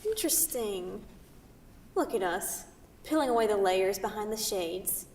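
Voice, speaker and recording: A woman's voice: medium pitch, animated, measured pace, darkish tone, slight background noise. A woman reading the part of a brash, teasing New York City woman in a staged play, pushy and playfully sarcastic. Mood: curious, playful. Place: theatre, recorded from a distance. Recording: distant mic in echoey hall.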